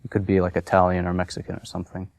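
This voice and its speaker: A man in his thirties from Massachusetts, telling his personal story in a halting, unscripted way full of fillers. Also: deep voice, monotone, vocal fry, no echo. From Massachusetts, USA. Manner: hesitant, many fillers and restarts. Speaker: convert sharing his story.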